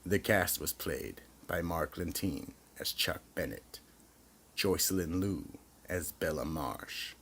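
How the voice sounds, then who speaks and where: A man narrating scripted horror as storyteller and announcer, slow, theatrical and ominous. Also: deep voice, fairly bright tone, slight background noise.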